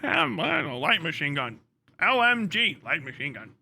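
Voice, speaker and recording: A man's voice: fairly high pitch, sing-song, moderate pace, gravelly, pushed and bright, breathy edge, pitched below the performer's own voice, heavily acted. A man livestreamer voicing a dim, timid gun namer who is easily daunted, in a hesitant comic skit. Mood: hesitant, matter-of-fact.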